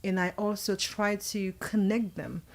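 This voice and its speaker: A woman's voice: medium pitch, steady tone, unhurried. A woman who is an author and coach, a guest on a radio talk show, speaking in an earnest, encouraging way. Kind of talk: interview answers.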